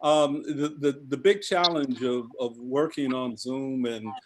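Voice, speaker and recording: A man's voice: medium pitch, a little slow, slight background noise. A man giving casual feedback on a video call, repeating words as he works through his thoughts.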